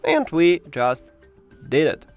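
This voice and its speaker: Higher-voiced, lively non-native English tutorial man. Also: takes it slowly, soft background music. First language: not English. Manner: instructional, addressing the viewer directly. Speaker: tech tutorial presenter. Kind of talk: step-by-step spoken tutorial.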